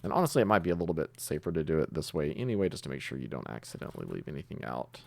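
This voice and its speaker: A man giving a casual screencast coding tutorial, talking haltingly as he thinks aloud while typing. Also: rumbling low, sing-song, vocal fry. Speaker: programming tutorial host.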